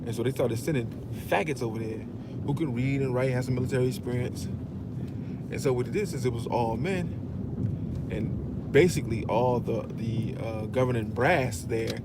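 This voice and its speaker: A man talks at a mid pitch in a loose, rambling monologue, swearing often and leaning on fillers like "you know what I'm saying." A steady, loud rumble of road and vehicle noise runs under his voice the whole time. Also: moderate pace, pauses often. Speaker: commentator.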